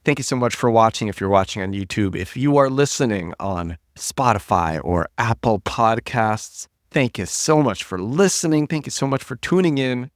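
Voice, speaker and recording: A man hosting a solo podcast while getting over a cold, talking low and smooth, animated, often repeating and restarting words. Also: normal speed, hardly any pauses, no room sound, clean studio sound. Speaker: podcast host.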